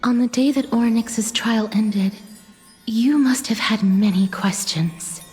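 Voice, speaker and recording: Slow, sorrowful young woman, soft and high. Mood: wistful regret. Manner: slow, solemn, melancholy. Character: gentle sorrowful young woman cursed with a deadly touch. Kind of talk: scripted dramatic game cutscene monologue. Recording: reverberant hall, music underneath.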